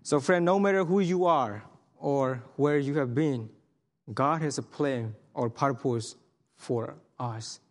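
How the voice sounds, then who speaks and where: Earnest man preaching in a hall. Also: medium pitch. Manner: earnest, halting, many restarts and fillers. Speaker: missionary speaker. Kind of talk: sermon testimony. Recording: long hall reverb.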